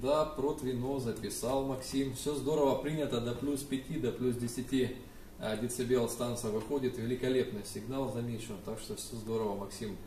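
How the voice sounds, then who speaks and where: A young man operates a ham radio, making contacts with spelled-out call signs. He is heard from a distance in an echoey room, with faint noise behind him. His pitch is ordinary for a man. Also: steady tone, normal speed, mellow. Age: young adult. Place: small indoor room with radio desk and computers.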